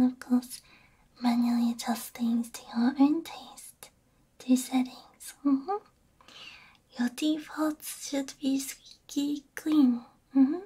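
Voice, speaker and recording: A woman whispering gently and soothingly in an ASMR roleplay as a repair technician, breathy and close to the microphone. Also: high voice. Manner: gentle, soothing, unhurried, soft hums between phrases. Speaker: ASMR VTuber playing a robot repair technician. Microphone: close binaural ASMR microphone.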